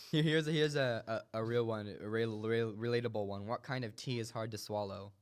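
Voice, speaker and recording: A man's voice: fairly low pitch, steady tone, normal speed, clear, resonant tone. A young man hosting a casual comedy chat, laughing often and rambling with many fillers. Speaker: host.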